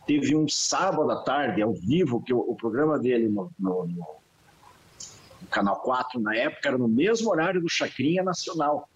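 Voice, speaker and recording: A man reminisces in a halting voice that keeps doubling back on its words. His voice is husky, and the sound is a little dull and lo-fi. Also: mid-range voice, expressive. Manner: halting, repeats words, moved. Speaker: guest, son of the honoree. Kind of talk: emotional reminiscing.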